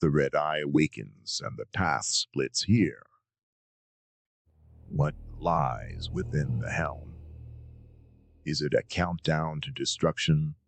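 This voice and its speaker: A man's voice: a bass voice, very animated, takes it slowly, pauses often. A man delivering scripted dramatic narration in an ominous, portentous manner. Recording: clear background noise, ambience.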